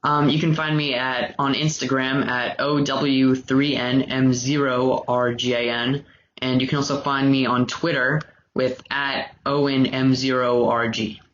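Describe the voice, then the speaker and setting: A man's voice: medium pitch, level tone, measured pace, a bit bright. A man as a podcast guest, chatting casually. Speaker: actor, interview guest. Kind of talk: casual chat. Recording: dull, noisy home call audio.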